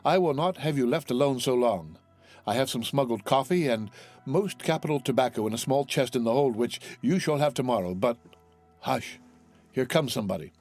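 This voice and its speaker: A man's voice: medium pitch, expressive, normal speed, darkish tone, soft background music. A man voicing an earnest, devoted young sailor in a storybook, speaking with ardent courtesy. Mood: eager, caring.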